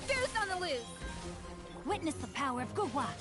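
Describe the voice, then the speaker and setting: High, fierce game heroines. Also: female voice, sing-song, normal speed, over loud music. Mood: commanding. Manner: short clipped battle cries. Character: fierce young female fighters barking battle calls. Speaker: playable game characters.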